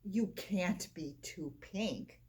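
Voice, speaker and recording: A woman's voice: lowish voice, very slow, a little mellow, husky, pitched below the performer's own voice, exaggerated voice. A woman voicing a caring, encouraging male pig from a children's storybook, earnest and reassuring.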